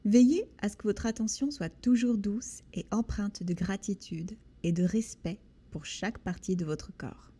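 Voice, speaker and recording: A woman leads a guided body scan meditation in a soothing, gentle manner, with deliberate pauses between short phrases. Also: mid-range voice. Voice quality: soft, breathy. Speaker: guided relaxation instructor.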